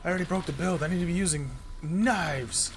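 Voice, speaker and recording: A man's voice: sits high, fairly quick, noisy background. A young man with a cold, sniffling as he chats casually about a game build while playing. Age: young adult. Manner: rambling, self-deprecating, explains build tips. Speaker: gaming content creator. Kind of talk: casual gameplay commentary.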